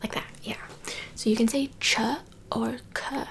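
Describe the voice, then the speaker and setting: A slightly high-pitched American woman whispers her way through a German lesson, slow and meandering. Her voice has a gravelly edge, and she drops deadpan jokes as she talks. Faint noise sits behind her. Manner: whispery, rambling, deadpan joking. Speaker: language teacher. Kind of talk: whispered ASMR-style German lesson, joking. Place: indoors with books and a map.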